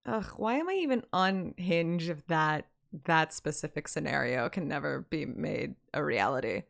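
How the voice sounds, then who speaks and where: A woman's voice: low voice, animated, moderate pace, vocal fry. A woman game streamer giving casual commentary and narration over a story game.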